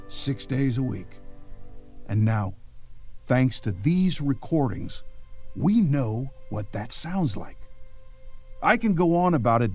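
A male actor plays an earnest radio newsman narrator with a folksy touch. His voice sits at a medium pitch, with faint music behind it. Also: lightly acted. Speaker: announcer-narrator.

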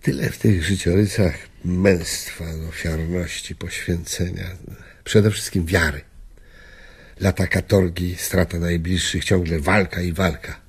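An actor playing a weary, nostalgic old gentleman in a radio drama, speaking wistfully in halting, declamatory phrases. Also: sits low, steady tone, breathy edge, exaggerated voice. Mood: wistful, fervent. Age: older.

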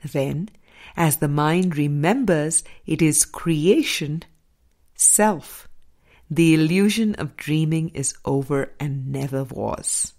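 A woman reading a scripted spiritual audiobook in a measured, deliberate way, pausing between phrases. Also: sits low, a little mellow. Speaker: audiobook reader.

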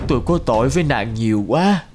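An actor playing a remorseful, flustered former husband in an animated folk tale, speaking in a halting, regretful way. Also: male voice, medium pitch, background noise, close to an everyday voice. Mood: flustered, guilty.

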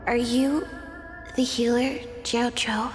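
A woman voicing an earnest, stubborn young warrior girl in a game, with a solemn, deliberate delivery. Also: a little high, little pitch movement. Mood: faint, earnest. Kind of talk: dramatic game voice acting. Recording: long reverb with music behind.

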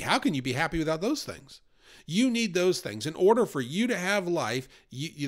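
A man leading an informal Bible study podcast, talking in an earnest, conversational way and pushing his voice up for emphasis. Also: a little high.

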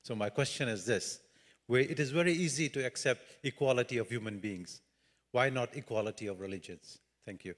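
A man's voice: medium pitch, brisk. A man who teaches at university, putting a direct question from the floor at a conference. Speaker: professor, audience questioner. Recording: long hall reverb.

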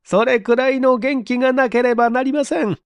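A man's voice: extremely high pitch, fairly even intonation, brisk, smooth, dry recording, voice pushed up. A man voicing a kindly, polite elderly landlady in a Japanese audio story. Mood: kindly, welcoming. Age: older.

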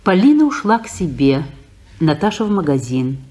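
A woman narrating stage directions for a 1950s radio play in a measured storytelling manner. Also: deep voice, sing-song, dark tone.

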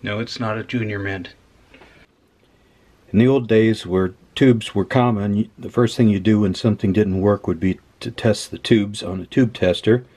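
A man casually talking through a hands-on repair as host, unhurried and dryly humorous. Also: on the low side, steady tone, dark tone. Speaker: host of an electronics repair video. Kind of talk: casual hands-on demonstration commentary. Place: indoor workbench. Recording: clear background noise.

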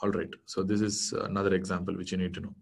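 Indian man teaching, slightly low voice. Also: flat delivery, quick pace, creaky, husky. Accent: Indian English. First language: Tamil. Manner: explanatory, conversational, many fillers and self-corrections. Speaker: medical lecturer. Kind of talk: online exam-prep lecture. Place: small indoor room.

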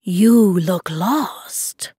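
A woman voicing a calm female lynx, a wise wanderer of the wilderness in a children's story, with a slow, purring delivery. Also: medium pitch, slightly rough, dry recording, clean studio sound, exaggerated voice.

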